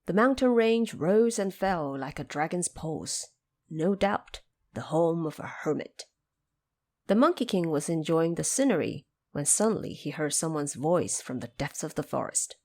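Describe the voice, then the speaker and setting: Animated, low-pitched woman narrating a story. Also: a little slow. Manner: dramatic, expressive storytelling. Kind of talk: scripted storybook narration for an audio drama.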